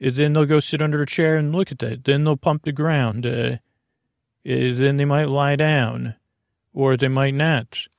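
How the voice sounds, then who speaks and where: Creaky, soothing man rambling softly. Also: fairly even intonation, no echo, studio quality. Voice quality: creaky, low soothing tones. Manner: meandering, halting, full of tangents and restarts. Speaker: podcast host. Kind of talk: rambling sleepy-time podcast talk.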